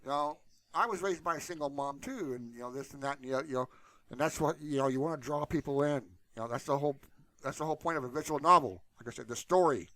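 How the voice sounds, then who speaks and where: A man's voice: on the high side, fast talker, pushed and bright, no room sound, rough recording. A man hosting his own channel, talking to viewers in a casual, unscripted ramble peppered with "you know". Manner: informal and unscripted, repeatedly saying "you know". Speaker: YouTube channel host.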